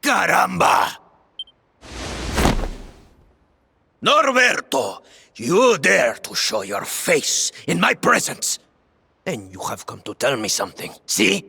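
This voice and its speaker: An actor playing a proud, fiery old knight in a video game, with a gravelly, theatrical delivery. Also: male voice, very animated, takes it slowly, bright, forceful tone, big-room sound, slight background noise, heavily acted. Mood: outraged, scolding. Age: older. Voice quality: gravelly, husky. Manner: booming, chuckling, grandly theatrical. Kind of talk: video game character acting.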